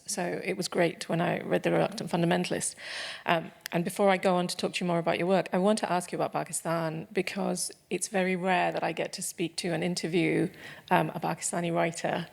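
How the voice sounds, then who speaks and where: A woman's voice: fairly low pitch, gravelly. A woman from London interviewing an author at a library event, asking earnest, careful questions with hesitant fillers.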